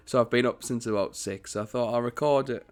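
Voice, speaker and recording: A man with a croaky early-morning voice talks casually over a strategy game. He rambles and corrects himself as he goes, in a middling pitch at a desk mic in his home setup. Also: fairly even intonation, normal speed, darkish tone. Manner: chatty, rambling, self-correcting. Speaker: gaming channel host.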